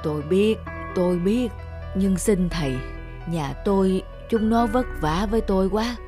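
A woman's voice: mid-range voice, measured pace, mellow, natural voice. A woman voicing a frail, tender old grandfather in a children's cartoon, speaking in an earnest, doting way. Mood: pleading. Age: older. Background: music.

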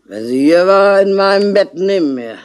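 An actress playing a drunken, stubborn, sorrowful bar keeper who rambles muddily in a 1960s radio play. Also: female voice, medium pitch, lively intonation, moderate pace, a little mellow, close to an everyday voice. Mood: muddled, boozy. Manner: muddled, boozy rambling.

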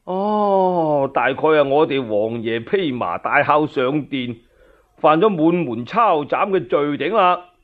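A man with a Cantonese accent playing a nonchalant, fearless household steward in a radio story, unhurried and offhand. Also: on the high side, lively intonation. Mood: calmly defiant. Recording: dull lo-fi radio recording.

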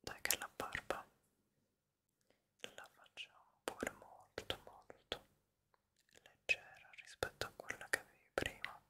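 A young man whispers very close to the mic. His delivery is slow and breathy, with no voiced tone. He plays a reassuring barber in an ASMR roleplay, softly repeating his words. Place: small dim room indoors. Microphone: close studio microphone in front of him.

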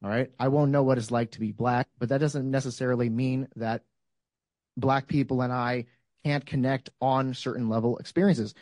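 A man in his thirties talks through an argument on a panel, holding a handheld mic in a lecture room. His voice sits at a middle pitch. The sound is close and dry. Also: fairly even intonation, normal speed, a little mellow, no echo. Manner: thoughtful, builds arguments with examples. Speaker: panel speaker. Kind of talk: panel discussion. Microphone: handheld microphone.

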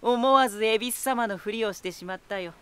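An actor playing a teasing, talkative lighthouse spirit in an anime-style voice drama, boyish and self-assured with an unsettling edge. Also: male voice, sits high, fairly quick, fairly bright tone, slight background noise. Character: playful male lighthouse spirit, teasing, talkative, eerily battle-hungry.

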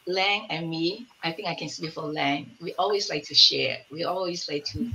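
A woman's voice: deep voice, moderate pace, slight background noise, rough recording. A woman in her sixties chatting on a video call from a home room, searching for words as she tells stories. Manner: warm storytelling, searching for words. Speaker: community elder, collective member. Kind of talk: casual panel chat on video call. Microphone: laptop mic on video call.

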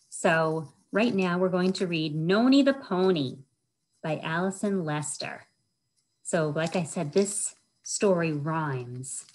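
A middle-aged American woman speaks in a low voice at an unhurried, slow pace. She is a storytime host, reading to children and adding simple asides and questions for them. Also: slight background noise, poor audio. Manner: simple, child-directed talk with questions to listeners. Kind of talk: children's storytime read-aloud with chatty asides. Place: indoors, small wood-panelled room. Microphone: webcam or laptop at arm's length.

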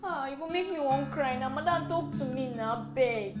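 A woman voices a fawning housemaid who pleads with her madam in a Nigerian Pidgin accent. Her voice sits high, with guitar music under it. The room sounds echoey. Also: normal speed, close to an everyday voice. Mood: pleading.

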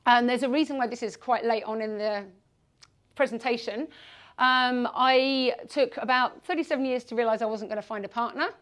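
A woman's voice: on the high side, normal speed, fairly bright tone. A woman in her forties from England with a West Country accent, giving a self-deprecating, jokey presentation to an audience in a meeting room. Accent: West Country English. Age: 40s. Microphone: far camera.

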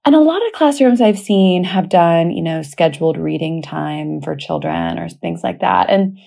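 Female podcast host, teacher type: mellow, breathy-husky tone, casual, chatty delivery. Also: fairly low pitch, lively intonation, moderate pace. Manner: informal, anecdotal, conversational. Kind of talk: casual spoken podcast talk.

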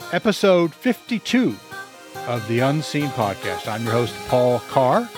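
A man from the United States hosting a panel podcast, introducing guests in a relaxed, chatty way. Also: a little high. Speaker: podcast host. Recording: music clearly behind voice.

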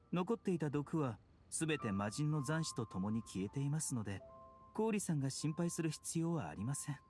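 Calm polite doctor guy in a game, formal and gently stubborn, music behind. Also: fairly high pitch. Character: composed, courteous male physician, gently unyielding. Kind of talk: Japanese game voice acting.

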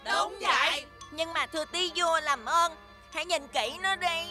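A woman's voice: very high voice, a little slow, bright, forceful tone, full character voice. A woman playing an earnest, tender-hearted young male gnome, pleading, in a cartoon dub. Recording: music behind the voice.

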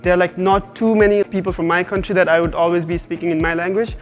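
A man's voice: sits high, level tone, fast. A young man from Nepal with a Nepali accent, talking casually as a student in a promotional interview. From Kathmandu, Nepal. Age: young adult. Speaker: university student. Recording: faint music behind the voice.

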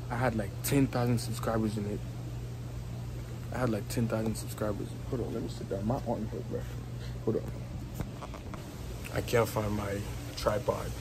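A man's voice: lowish voice, flat delivery, moderate pace, pauses often. A young man with a strong Haitian accent, chatting casually in a rambling, repetitive way, filming himself outdoors on a phone held at arm's length. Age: young adult. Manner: rambling, repetitive, filler-heavy talk with laughs. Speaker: YouTuber. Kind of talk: casual vlog chat. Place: outdoors on a patio by a house wall. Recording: phone recording with background noise.

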